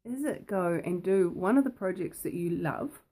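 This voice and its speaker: Croaky-voiced woman hosting a talk. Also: on the low side, animated, normal speed, dark tone. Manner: playful, repetitive, asks the viewer questions. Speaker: host. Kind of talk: casual talk to camera.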